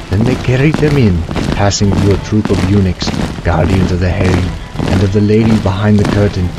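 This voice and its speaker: Male narrator, lowish voice, dramatic storytelling, archaic formal diction, music playing behind. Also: low-quality recording. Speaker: narrator playing a young merchant.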